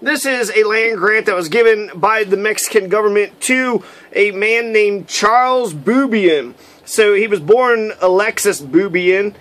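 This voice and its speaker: Brief: high-pitched male lecturer, loose and opinionated, swears freely. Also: a little slow, a bit bright. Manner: rambling, opinionated, profane asides. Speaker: history lecturer. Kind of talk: unscripted history talk.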